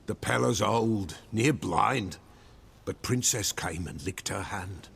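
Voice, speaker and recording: A man's voice: on the low side, slow, fairly bright tone, slight background noise, heavily acted. An actor playing an old male village soothsayer, cryptic and superstitious, who speaks in archaic, folksy sayings in a video game cutscene. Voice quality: gravelly.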